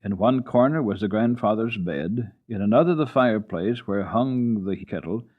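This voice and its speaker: A man giving an expressive, dramatic radio reading of a children's classic, with deliberate phrasing. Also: lowish voice. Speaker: radio storyteller. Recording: dull lo-fi old radio recording.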